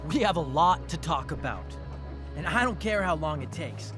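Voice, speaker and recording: A man plays an obsessive, self-righteous teenage boy in a dramatic game scene. His insistent, intense voice swings widely in pitch. There is music behind him. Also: animated, normal speed, close to an everyday voice. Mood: agitated, desperate. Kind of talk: dramatic video game voice acting.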